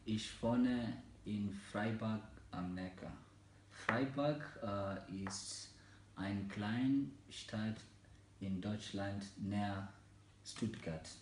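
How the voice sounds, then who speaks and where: Kenyan-born man, 20s, low-voiced language learner, very slow and hesitant, echoey room. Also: a little mellow, a little hiss or hum. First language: Mijikenda and Swahili. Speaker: German learner in a practice interview. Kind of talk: slow, halting learner German with many fillers.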